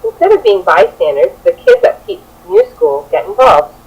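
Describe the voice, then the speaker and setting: A woman's voice: high voice, wildly swooping pitch, moderate pace, creaky, darkish tone, breathy edge, rough recording. A young adult woman giving a prepared classroom presentation as a student teacher, partly reading. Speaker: student teacher presenting a children's book project.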